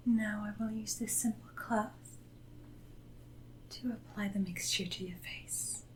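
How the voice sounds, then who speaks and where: A woman playing a devoted, deferential Regency lady's maid in a soft-spoken, often whispered ASMR roleplay, with formal, gentle speech. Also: medium pitch, fairly even intonation, takes it slowly, natural voice, rough recording. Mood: attentive, soothing.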